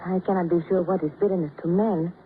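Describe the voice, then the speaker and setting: An actress playing a melancholy young woman with a foreign accent in an old radio crime drama, speaking in formal, deliberate, unidiomatic English. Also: fairly low pitch, steady tone, natural voice. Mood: earnest, melancholy. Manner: formal, deliberate, non-native phrasing. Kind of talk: 1940s-50s radio acting. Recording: muffled, faintly noisy old radio broadcast.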